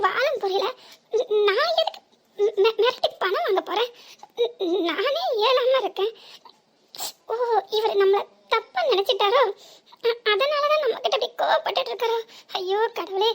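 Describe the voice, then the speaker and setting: A woman voicing a fallen old woman who groans, wails and pleads for help in an animated story. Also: extremely high pitch. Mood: bewildered. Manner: wailing, pleading and groaning in pain.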